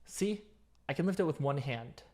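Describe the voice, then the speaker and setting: A performer with a mid-low voice voicing an obsessive, dominant vampire lab technician in an intimate, chatty ASMR roleplay. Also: animated, moderate pace, mellow, close to an everyday voice. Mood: casually teasing.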